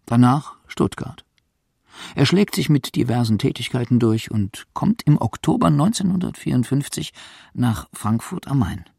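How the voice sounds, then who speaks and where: Male narrator for a radio feature: lively pitch swings, rough husky edge, deliberate phrasing. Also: no echo, clean studio sound.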